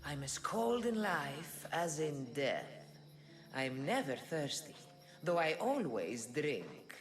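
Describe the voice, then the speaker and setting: A low-voiced, proud riddling she-monster in a video game. She speaks slowly and theatrically, with teasing swoops in her delivery, over clear music. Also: sing-song, heavily acted. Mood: cryptic. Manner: theatrical, deliberate, cryptic. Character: riddling female monster guarding ancient power, proud, teasing. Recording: music behind the voice.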